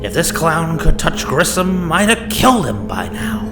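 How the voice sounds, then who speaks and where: A man's voice: a little high, very animated, a little slow, over loud music, voice pushed up. A man voicing a cool, cynical gangster. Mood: sneering. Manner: dry, sneering.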